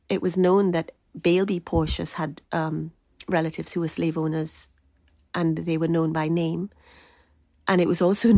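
A woman researcher and writer from Trinidad, answering interview questions in a measured, earnest way. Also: lowish voice, gravelly, warm tone, no echo, clean studio sound.

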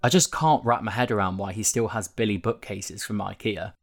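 A man's voice: on the low side, fairly even intonation, brisk, creaky. A man reading a written magazine interview aloud as scripted narration. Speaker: narrator reading a newspaper feature. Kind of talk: scripted narration of a print interview, with quotes read out.

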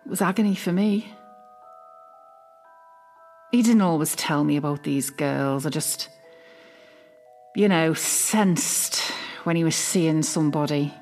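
A woman's voice: sits low, darkish tone, soft background music. A woman playing an obsessively doting, self-justifying middle-aged British mother in a confessional audio drama, speaking intimately and defensively. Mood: possessive, justifying. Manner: intimate, defensive, hesitant pauses. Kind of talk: dramatic monologue, confessional fiction podcast.

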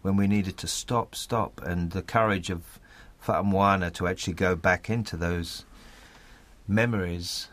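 A man's voice: sits low, fairly even intonation, unhurried, a bit bright, dry recording. A man talking earnestly and articulately in an interview, often repeating phrases for emphasis. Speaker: playwright. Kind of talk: thoughtful interview talk.

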